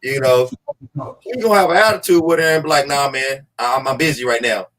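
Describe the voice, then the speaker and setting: A man in his forties from Los Angeles, telling a story in animated, laughing casual chat with plenty of swearing. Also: medium pitch, fairly quick, poor audio. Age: 40s. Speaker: podcast host.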